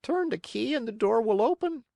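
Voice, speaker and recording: A man's voice: very high-pitched, expressive, normal speed, gravelly, dark tone, dry recording, studio quality, pitched above the performer's own voice, exaggerated voice. A man voicing a small, wise talking cricket in a storybook reading.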